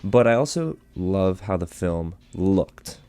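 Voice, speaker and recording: A man's voice: sits low, animated, takes it slowly, warm tone, no room sound. A man hosting his own channel, chatting enthusiastically to viewers in a casual movie review while getting over a cold.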